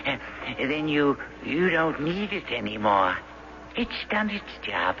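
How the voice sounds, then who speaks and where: An actor playing a courtly, philosophical gentleman in a radio drama, speaking politely and haltingly in ornate phrases. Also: fairly high pitch, very animated, full character voice. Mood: gently persuasive. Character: courtly, philosophical man with a curious stone. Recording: muffled, hissy vintage radio drama recording.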